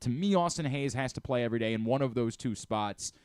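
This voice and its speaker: A man's voice: medium pitch, fairly quick, a little mellow, clean tone, no room sound, studio quality. A man hosting a sports talk show, speaking casually and emphatically, often repeating a phrase to drive it home. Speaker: sports radio show host. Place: radio studio.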